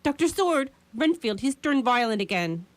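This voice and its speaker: A woman's voice: fairly high pitch, sing-song, moderate pace, dry recording. A woman playing an anxious, deferential asylum attendant in a radio play, calling out urgently. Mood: urgent, alarmed. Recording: very noisy, loud ambience.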